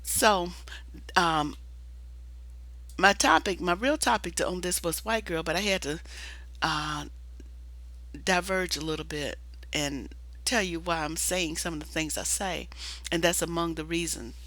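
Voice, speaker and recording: A hoarse woman who is losing her voice talks in a casual, rambling monologue, restarting often and filling pauses with "uh" and "um". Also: on the low side, fairly bright tone, no room sound. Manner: rambling, restarts with many fillers. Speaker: video commentator.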